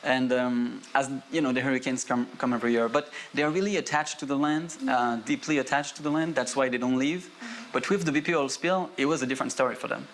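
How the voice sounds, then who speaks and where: A man's voice: medium pitch, fairly even intonation, fast talker. Chatty male co-host, light noise. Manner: conversational, many fillers and restarts. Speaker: TV program co-host. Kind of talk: studio conversation.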